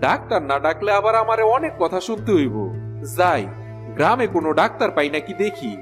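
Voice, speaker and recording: An actor playing a naive, self-satisfied village farmer and husband in a cartoon, with a rural Bangladeshi Bengali accent. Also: male voice, high-pitched, lively intonation, a little fast, a bit bright, soft background music. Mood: fretful, naive. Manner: naive, earnest, boastful. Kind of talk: cartoon voice acting.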